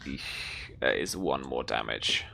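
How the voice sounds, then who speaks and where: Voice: a bass voice, expressive, a little slow, vocal fry, bright, forceful tone, slight background noise. A game master running a tabletop roleplaying game in a casual way, talking players through rules and outcomes.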